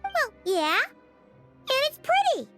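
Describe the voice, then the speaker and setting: A woman voicing a tiny, childlike floating fairy companion in a video game, eager and expressive, with a squeaky voice. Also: takes it slowly, soft background music, heavily acted. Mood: defensive, earnest. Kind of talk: English video game voice acting.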